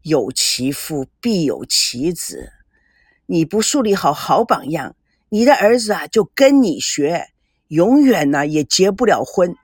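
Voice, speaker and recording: A woman's voice: on the low side, sing-song, normal speed, darkish tone, breathy edge, dry recording, studio quality. A woman voicing a stern, caring elderly father in an audiobook, grumbling, sighing and admonishing. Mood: teasing, scolding.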